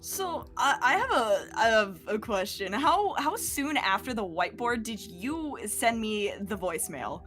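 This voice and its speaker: Young female, high-pitched, swooping expressive intonation, relaxed livestream banter, music underneath. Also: a little slow, hardly any pauses. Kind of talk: casual chat.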